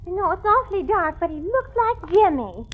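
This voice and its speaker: A tipsy, muddled wife in old radio drama, very high-pitched and shrill, whiny and stubbornly insistent. The recording is dull and vintage. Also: female voice, sing-song, moderate pace. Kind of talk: 1940s American radio drama acting.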